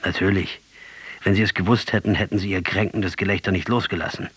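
Husky, proud guerrilla commander. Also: male voice, low-pitched, fairly even intonation, fast talker, a bit bright, dry recording, exaggerated voice. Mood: scornful. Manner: didactic, sardonic, rhetorical storytelling. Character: proud, fervent, argumentative Argentine guerrilla commander facing death. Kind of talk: radio drama acting.

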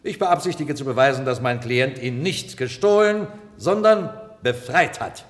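An actor playing an eloquent, combative defence lawyer in a radio drama, arguing formally with emphatic rhetoric. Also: male voice, mid-range voice, expressive, measured pace, close to an everyday voice. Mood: insistent, argumentative. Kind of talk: radio drama courtroom oratory. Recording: reverberant courtroom-like hall.

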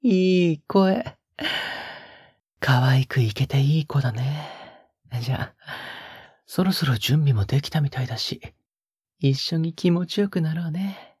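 A man playing a tender, teasing, dominant male lover in an intimate romantic audio drama, speaking softly and coaxingly, often in a breathy whisper. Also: fairly high pitch, lively intonation, a little slow, pauses often, no room sound, clean studio sound, full character voice. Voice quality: breathy, husky.

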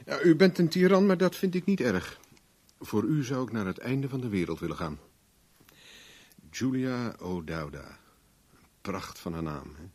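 An actor playing a flippant, flirtatious private investigator in a radio drama, with wry, teasing banter. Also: male voice, medium pitch, expressive, measured pace, a little mellow, husky, no echo. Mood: smitten, playful. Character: flippant, flirtatious male private investigator, world-weary charmer.

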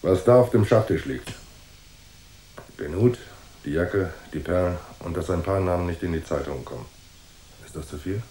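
An actor playing a cool, unflappable private detective who narrates a noir radio drama in a dry, laconic way. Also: male voice, deep voice, normal speed, long pauses, dark tone, a little hiss or hum, close to an everyday voice. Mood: assertive. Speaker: first-person narrator and detective.

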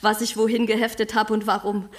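Scattered, wry midwife played by an actress, noise behind. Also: female voice, mid-range voice, little pitch movement, a little fast, close to an everyday voice. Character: chaotic freelance midwife, wry and polite. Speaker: narrator.

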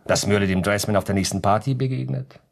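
An actor playing an urbane, pedantic lawyer in a radio play, reasoning coolly and politely in a precise, lecturing manner. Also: male voice, lowish voice, fast talker, creaky. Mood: smug, reasoning. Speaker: lawyer giving legal advice. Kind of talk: German radio play dialogue.

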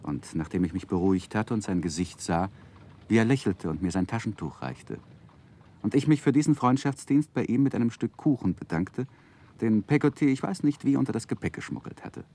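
A man's voice: on the low side, lively intonation, a little fast, warm tone. An actor playing a grown man recalling his boyhood, narrating a 1960s German radio drama in a literary, reflective manner.

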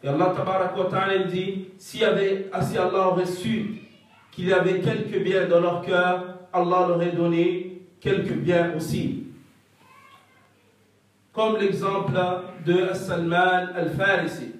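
A man's voice: high voice, level tone, a little slow, very echoey, distant mic, low-quality recording. A man whose first language is not French, giving a religious lesson that relays a scholar's answers, and often stopping to search for the right French words. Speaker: religious teacher.

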